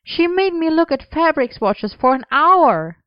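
A woman's voice: medium pitch, very animated, normal speed, no room sound, highs cut off, natural voice. A woman voicing a playful, whiny young man who grumbles and teases in an audiobook story. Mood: whiny, grumbling. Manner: whinging, grumbling, teasing.

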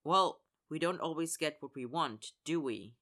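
A man's voice: mid-range voice, lively intonation, normal speed, pushed and bright. A man playing a dry, guarded young hockey player. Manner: dry, curt.

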